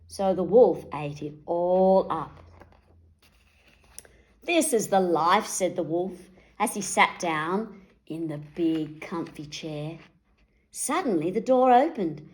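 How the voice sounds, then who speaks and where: A woman reading a picture book aloud to children in an animated storytelling style. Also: lowish voice.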